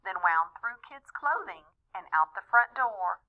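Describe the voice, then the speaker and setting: A woman reading a rhyming children's story aloud in an expressive, deliberate way, as a storyteller talking to young listeners. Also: mid-range voice, narrow, tinny audio. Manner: animated, deliberate, with small asides to young listeners.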